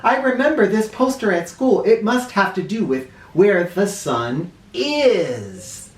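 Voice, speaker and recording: A man acts out an excitable young schoolboy detective from a children's storybook. His voice leaps very high and comes in exclaiming bursts, slightly distant in a roomy echo. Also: slow, full character voice. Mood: eager realisation. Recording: echoey room, distant mic.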